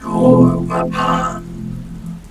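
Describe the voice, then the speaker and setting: A man voicing a coldly polite robot guard in a comic dub. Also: very slow. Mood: cold, curt. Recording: music and rain behind.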